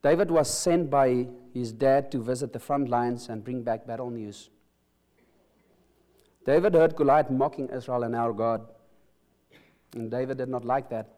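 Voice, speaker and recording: A man's voice: mid-range voice, mellow. Earnest man with Afrikaans accent preaching. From South Africa. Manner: earnest, halting with restarts and stresses. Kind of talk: sermon with opening prayer.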